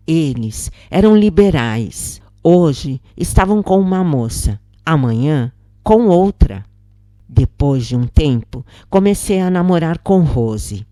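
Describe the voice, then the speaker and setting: A woman's voice: deep voice, warm tone, no echo, clean studio sound. A woman with a Brazilian accent reading a scripted story as its narrator. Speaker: narrator of spiritist stories.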